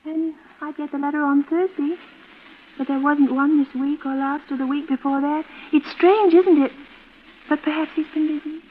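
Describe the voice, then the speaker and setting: A woman's voice: sits high, steady tone, moderate pace, close to an everyday voice. An actress playing a fragile, childlike woman nearing forty in a 1940s radio suspense drama, timid, wistful and apologetic. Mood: puzzled, hurt. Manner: timid, rambling, apologetic, girlish. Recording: muffled, noisy vintage radio recording.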